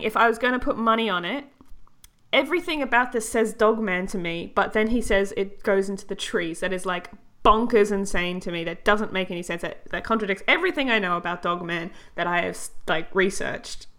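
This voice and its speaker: A woman co-hosting a podcast, animated and giggly, thinking out loud as she talks. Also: medium pitch, quick pace. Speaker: podcast co-host. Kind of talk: casual podcast chat.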